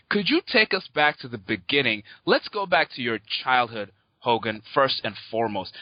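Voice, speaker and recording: A man's voice: medium pitch, dry recording, lo-fi sound. A man hosting a podcast interview, introducing his guest with upbeat, showman-like energy before asking questions. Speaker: podcast host.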